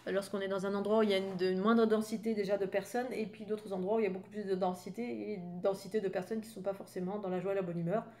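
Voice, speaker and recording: A woman's voice: medium pitch, fairly even intonation, fast, hardly any pauses, darkish tone. A French woman in her fifties, a spiritual coach talking casually in a rambling, chatty way with many fillers and asides. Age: 50s. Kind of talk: casual spoken monologue.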